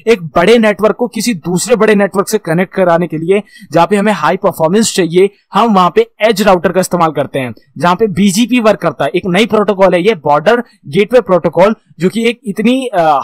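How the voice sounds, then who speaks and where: A man's voice: sits high, no room sound. A man with an Indian accent giving an explanatory tutorial as an instructor, asking questions and answering them himself in a teacherly way. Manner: switches between Hindi and English terms. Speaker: instructor in computer networking.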